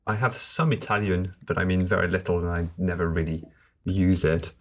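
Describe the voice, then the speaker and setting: Low-voiced man. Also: fairly even intonation. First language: French. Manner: measured, explanatory. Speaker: guest translator. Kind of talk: conversational podcast interview.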